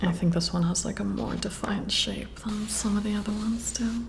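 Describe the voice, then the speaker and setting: A woman's voice: medium pitch, fairly even intonation, gravelly, noisy background, close to an everyday voice. A woman playing a flirty, intrigued boss giving a personality test in an ASMR roleplay, whispering breathily and admiringly, close to the mic. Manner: slow, flirty, admiring, softly hesitant.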